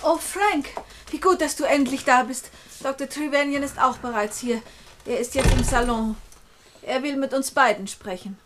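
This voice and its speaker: A high-voiced young woman in a German radio play. She is anxious and dramatic, pressing her words with urgent, pleading insistence. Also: moderate pace, a bit bright, slight background noise. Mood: nervously composed.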